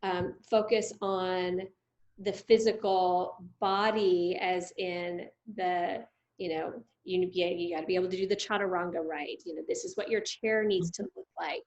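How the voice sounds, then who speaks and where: A woman's voice: fairly low pitch, little pitch movement, a little slow, darkish tone, lo-fi sound. Chatty middle-aged woman interviewer. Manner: chatty, affirming, many fillers. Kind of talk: conversational interview.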